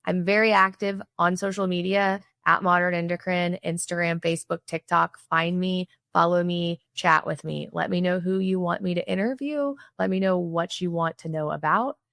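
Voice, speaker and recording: Low gravelly-voiced woman hosting a podcast. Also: fairly even intonation, normal speed, few pauses, fairly bright tone, no room sound, clean studio sound. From Missouri, USA. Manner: chatty, frequent "like" and "right?" tags. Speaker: podcast host. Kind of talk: casual interview chat and closing sign-off.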